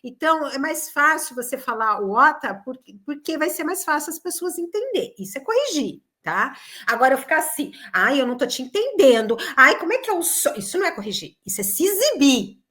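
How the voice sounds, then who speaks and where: Female co-host, high and animated, firm opinionated talker. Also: fairly quick, few pauses. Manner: emphatic, opinionated, animated storytelling. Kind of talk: casual chat.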